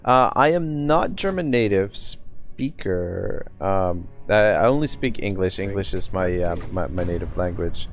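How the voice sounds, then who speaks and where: A man's voice: deep voice, lively intonation, unhurried, faint music behind. A man from Nova Scotia, casually commenting on a live game stream, swearing often and thinking aloud with lots of fillers. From Nova Scotia, Canada.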